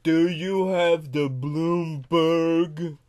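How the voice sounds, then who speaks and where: A man playing a childlike, confused, easily upset grown man on a prank call, halting and repeating words insistently. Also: a little high, fairly even intonation, a little mellow. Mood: timid, plain.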